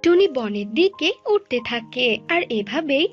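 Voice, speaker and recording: A woman's voice: high voice, big pitch swings, unhurried. Female storyteller, children's cartoon narration, music underneath, slightly lo-fi. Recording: dull lo-fi sound, music behind.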